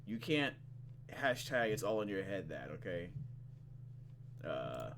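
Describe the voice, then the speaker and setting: A man's voice: low-pitched, big pitch swings, takes it slowly, a bit bright, a little hiss or hum. A man hosting a let's play, casually and expressively commenting and swearing as he reads visual novel text aloud.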